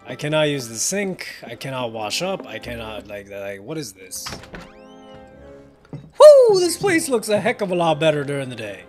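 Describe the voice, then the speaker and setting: Young man, let's play commentary, animated, joking, rambling, lively pitch, game music behind. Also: normal speed. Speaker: gaming video host. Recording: voice over game audio with music.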